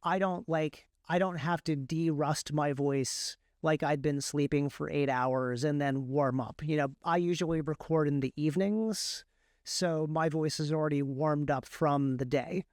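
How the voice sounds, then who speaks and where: A man with a clear, resonant voice pitched a little high. He talks casually as an interview guest, explaining how he works and revising his phrasing along the way. The sound is dry and close. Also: steady tone, a little slow, darkish tone, no room sound. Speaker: guest, indie author and audiobook narrator.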